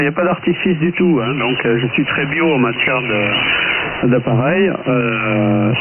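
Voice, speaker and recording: Man on a tinny, noisy radio link. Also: medium pitch. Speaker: amateur radio operator. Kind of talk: casual radio chat.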